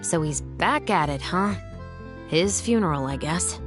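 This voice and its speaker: A woman's voice: on the low side, animated. A wry, confident female patrol captain. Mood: dry, explanatory. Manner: wry, measured, matter-of-fact. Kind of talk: video game voice acting. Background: game music.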